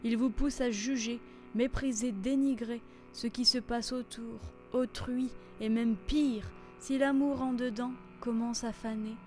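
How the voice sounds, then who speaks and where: A woman reciting a poem in a measured, theatrical and solemn manner. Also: on the high side, steady tone. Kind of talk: dramatic poetry recitation. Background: instrumental music.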